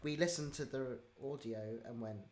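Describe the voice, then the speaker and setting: The speaker is a chatty host who also writes audio drama, talking in a medium-pitched voice. The delivery is informal and giggly, as in a relaxed online Q&A with a guest. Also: normal speed. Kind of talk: casual livestream Q&A chat.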